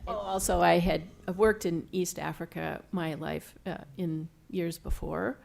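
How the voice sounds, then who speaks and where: Gravelly, flat-voiced woman in her sixties. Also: fairly low pitch, unhurried. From Washington State, USA. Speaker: panelist, researcher. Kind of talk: panel discussion.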